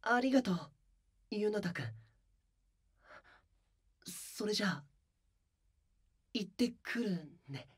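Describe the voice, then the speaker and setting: A man playing a gentle, timid young male idol in a voice drama, soft and hesitant. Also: sits high, expressive, slow, long pauses, husky, exaggerated voice. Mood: nervous. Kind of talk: Japanese voice drama acting.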